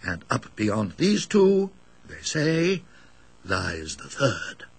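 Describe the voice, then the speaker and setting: Man playing husky, creaky old English country rector. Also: mid-range voice, very animated, extremely slow, full character voice. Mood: explanatory. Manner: musing, hesitant, donnish, emphatic storytelling. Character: kindly, talkative elderly English country rector. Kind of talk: dramatised ghost story reading.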